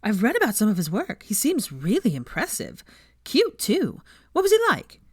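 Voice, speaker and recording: Reader doing a bubbly nosy girl in her twenties, sweet gushy teasing, higher and swoopy, female voice. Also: wildly swooping pitch, normal speed, a little mellow, husky, dry recording, clean studio sound. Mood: nosy, eager.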